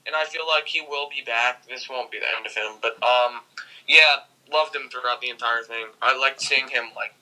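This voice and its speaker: A man's voice: medium pitch, moderate pace, sharp tone, poor audio. A man speaking as a guest reviewer in a casual conversation about films. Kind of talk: casual chat, film review.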